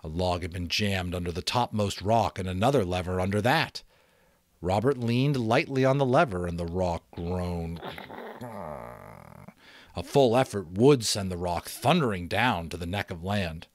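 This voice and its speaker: A man reading a story aloud as dramatic, expressive narration. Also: sits low, measured pace, no room sound. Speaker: audiobook reader.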